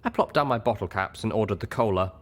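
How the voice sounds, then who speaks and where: A man narrating a first-person story in an expressive audiobook reading style. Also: lowish voice, fast.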